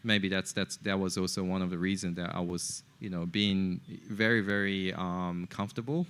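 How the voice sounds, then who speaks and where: A middle-aged man whose first language is Japanese, a podcast guest talking in a halting, casual way with many fillers and restarts. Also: low-pitched, steady tone, a bit bright, clear, resonant tone. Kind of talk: casual interview talk.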